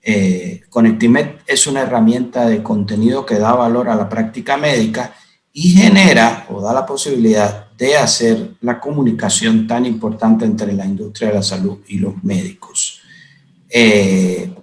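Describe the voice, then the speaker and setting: A man from Cuba moderating a webinar panel, talking in a measured way with many hesitant "eh" fillers. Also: on the low side. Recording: echoey room.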